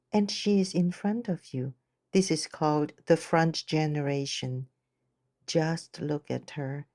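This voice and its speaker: A woman narrating a guided meditation in a gentle, measured, unhurried manner. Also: low voice, no echo, clean studio sound.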